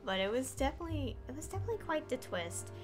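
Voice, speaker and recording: A woman's voice: high voice, very animated, quick pace. A woman streaming a game, giving casual commentary in a hesitant, rambling way that often trails off. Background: game music.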